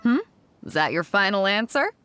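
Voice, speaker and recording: A woman's voice: mid-range voice, expressive, normal speed, a bit bright, a little hiss or hum, lightly acted. A woman voicing a bold, teasing female fleet captain in a video game, casual and confident. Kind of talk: scripted video game dialogue.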